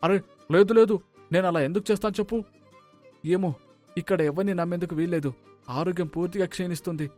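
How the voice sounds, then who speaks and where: Fast, high-pitched suspicious gangster played by a man. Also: darkish tone, faint music behind, close to an everyday voice. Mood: suspicious, anxious. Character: suspicious, threatening male gangster in hiding. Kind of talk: dramatic moral-story voice acting.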